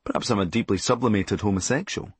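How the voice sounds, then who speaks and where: A man's voice: lowish voice, quick pace, husky, no echo. A Scottish man narrating a comic memoir in a sardonic, darkly comic and blunt manner. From Scotland. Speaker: comedian narrating a memoir audiobook.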